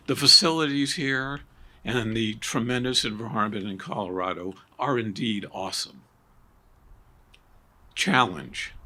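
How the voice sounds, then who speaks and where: Bright-voiced older man giving ceremony remarks. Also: medium pitch. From Maine. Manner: measured, stresses key words with rising emphasis. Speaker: ceremony speaker, former cadet.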